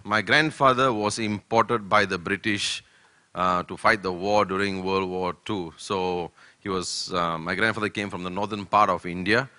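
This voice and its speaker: An older man from Malaysia giving a conference keynote talk, speaking slowly and deliberately with many fillers. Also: sits low, fairly even intonation, bright, forceful tone, no room sound. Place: conference hall.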